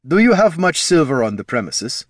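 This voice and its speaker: Giant menacing growly dude, thick russian-ish accent, slow and polite, storybook character. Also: male voice, a little high, sing-song, no room sound, clean studio sound, pitched above the performer's own voice. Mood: slow, looming. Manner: slow, heavy, broken grammar. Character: huge menacing man, werebear, slow and formal.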